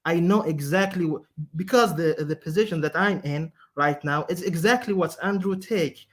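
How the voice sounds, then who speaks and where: A man calling in to a debate, speaking in halting, broken English full of fillers. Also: a little high. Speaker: caller. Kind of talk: hesitant debate talk.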